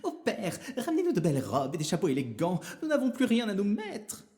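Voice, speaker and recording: Guy doing a vain greedy young sister in a fairy tale, way high, gushy and excitable. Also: a little fast, big-room sound. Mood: gushing, excited. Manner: gushing, excitable.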